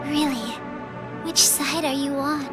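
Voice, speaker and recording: Tiny wicked little girl in a game, super high voice, slow n sullen, music behind. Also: steady tone. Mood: wary, sullen. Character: small girl, sweet-sounding but wicked, scheming, weary. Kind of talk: video game cutscene acting.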